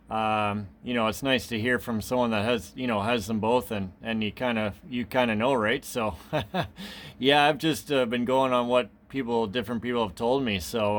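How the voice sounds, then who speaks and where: A man with a mid-pitched voice chats like a hobby radio operator. He is easygoing and agreeable, rambling along in loose, casual phrases. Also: fairly bright tone.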